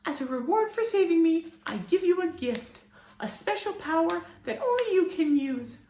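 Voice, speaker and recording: A man voicing a tiny, high-voiced female fairy protector with a screechy tone. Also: sing-song, moderate pace, warm tone, echoey room, slight background noise, full character voice. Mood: solemn.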